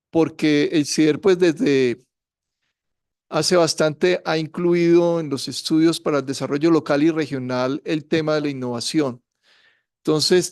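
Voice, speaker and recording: Male faculty host, formal welcome, smooth clear voice, dry sound. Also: on the high side, no room sound. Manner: measured and courteous, hesitant fillers. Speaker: university faculty host introducing guests. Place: university seminar room.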